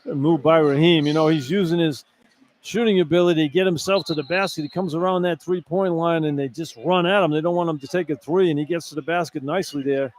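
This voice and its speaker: Higher-voiced American man analyzing live basketball. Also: steady tone, quick pace, darkish tone, no room sound. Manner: informal, explanatory, colloquial. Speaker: radio color analyst. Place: courtside in an indoor arena. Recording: clear background noise, live arena broadcast.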